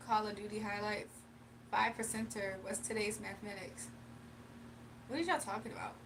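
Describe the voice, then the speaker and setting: A young woman with a fairly high voice chats loosely with her live viewers and laughs easily. She sits a little away from the mic, and faint room noise runs under her voice. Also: far from the mic. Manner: chatty, laughs often, reads chat aloud. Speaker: livestream tarot reader. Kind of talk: casual live chat and tarot reading.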